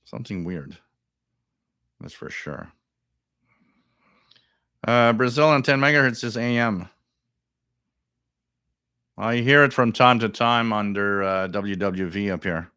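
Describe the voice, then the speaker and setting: A man hosting a live radio stream, thinking aloud in casual commentary, repeating himself and breaking into excited bursts over strange signals. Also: fairly low pitch, takes it slowly, long pauses, smooth, dry recording, studio quality.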